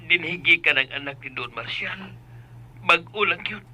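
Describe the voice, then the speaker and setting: A man's voice: a little high, normal speed, over loud music, lightly acted. A man with a Cebuano accent playing a meek, frightened farmer father in debt, pleading deferentially in a radio drama. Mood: distraught. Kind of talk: Philippine radio drama acting.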